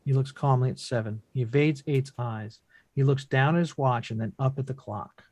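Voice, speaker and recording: A man's voice: medium pitch, moderate pace, a little mellow, no echo. A man reading stage directions as scripted narration for a drama table read.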